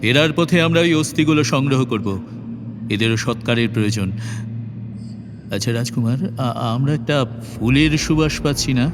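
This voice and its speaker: A man's voice: medium pitch, normal speed, background noise, lightly acted. A man playing a commanding, protective fairy-tale king and father in an audio drama, stately and emphatic, often repeating his opening words. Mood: thoughtful. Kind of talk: Bengali audio drama acting. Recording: long reverb, music behind.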